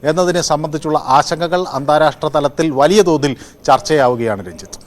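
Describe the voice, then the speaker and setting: A man with a Kerala Malayali accent giving an unscripted live report as a news correspondent, often pausing with fillers and repeating himself. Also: fairly high pitch. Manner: halting, with frequent filled pauses and repeats.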